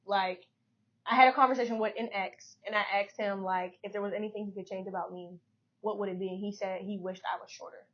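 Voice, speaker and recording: A woman, co-hosting a podcast in relaxed, filler-heavy casual chat. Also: mid-range voice, fast talker. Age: 20s. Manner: laid-back storytelling, frequent "like" fillers. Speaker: podcast co-host. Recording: dull lo-fi podcast audio.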